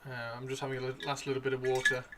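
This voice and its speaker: Bright-voiced man hosting, voice wearing thin. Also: medium pitch, very fast, a little hiss or hum. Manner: excitable, repeats phrases. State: losing his voice. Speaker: livestream host. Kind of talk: casual live stream chat while painting miniatures.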